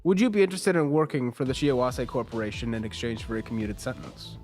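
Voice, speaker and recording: A man's voice: mid-range voice, fast, darkish tone, faint music behind, voice pushed down, close to an everyday voice. A man playing a formal, businesslike corporate interrogator in a tabletop roleplay. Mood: formal, probing. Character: formal, probing male corporate interrogator reading a file.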